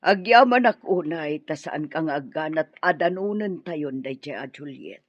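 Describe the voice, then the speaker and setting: A woman's voice: low-pitched, expressive, moderate pace, dry recording, lo-fi sound. A woman with a Filipino accent playing an older, ailing, meddling mother in an Ilocano radio drama. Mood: plaintive.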